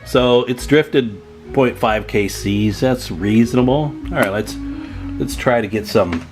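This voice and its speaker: A man's voice: medium pitch, measured pace, music in the background. An American man giving a casual hands-on demonstration as a repair technician, plainspoken and folksy.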